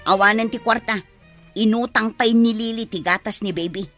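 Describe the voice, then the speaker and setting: Woman doing a naggy ilocano mom for radio comedy, high voice, super dry close sound. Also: steady tone, normal speed, fairly bright tone, no echo, soft background music, natural voice. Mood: defensive, annoyed. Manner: nagging, argumentative. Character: scolding village mother who gambles, brash and defensive.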